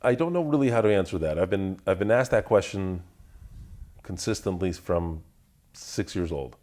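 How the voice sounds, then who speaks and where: A man whose first language is Russian, answering interview questions in a measured, deliberate way, pausing to find his words. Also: deep voice, expressive, a little mellow. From Russian family, raised in the United States. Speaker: interview guest. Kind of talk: thoughtful conversational answers.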